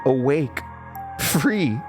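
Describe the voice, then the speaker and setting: Man acting a slow, haunted horror storyteller. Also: mid-range voice, lively intonation. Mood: dreamy, unhinged. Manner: halting, theatrical, with nervous laughter and drops into whispers. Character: disturbed, haunted storyteller recounting a horrifying childhood. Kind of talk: dramatic horror audio drama monologue. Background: prominent music.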